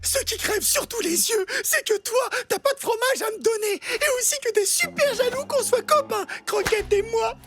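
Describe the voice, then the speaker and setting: A woman's voice: very high-pitched, normal speed, few pauses, fairly bright tone, faint music behind. A woman voicing a small, naive, stubborn animal with a childlike, sulky manner in a French cartoon. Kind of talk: French cartoon dub acting.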